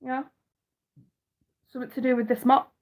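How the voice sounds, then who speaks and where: A woman's voice: high voice, flat delivery, moderate pace, a little mellow. A middle-aged woman with a blocked nose chatting casually with viewers on a live stream, swearing now and then.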